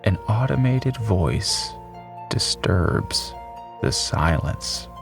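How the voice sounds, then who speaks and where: Male narrator, dramatic audiobook, measured, solemn, creaky voice, unhurried. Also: on the low side, animated, distant mic, music in the background. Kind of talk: scripted dramatic story narration.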